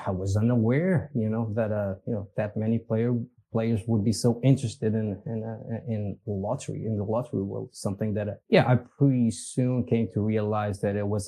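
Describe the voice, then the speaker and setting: Portuguese-accented male guest, deep mellow tone, laid-back and rambling. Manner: easygoing, meandering, chuckles at himself. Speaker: podcast guest, company executive. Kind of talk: casual interview conversation.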